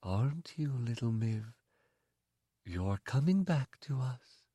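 A deep, soft, musical-voiced noblewoman, as a male reader puts her on, quiet and tender yet steady. She speaks very slowly, in a dry, close storybook reading. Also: slightly rough, no room sound. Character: gentle, commanding older noblewoman, a mistress.

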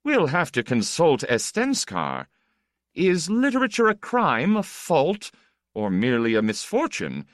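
Man plays flippant aristocrat for audiobook: ironic, urbane, self-assured, raised pitch with big swoops. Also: huge pitch swings, unhurried, no room sound, studio quality. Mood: teasing, assured. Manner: flamboyant, urbane, teasing. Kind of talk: audiobook character dialogue.

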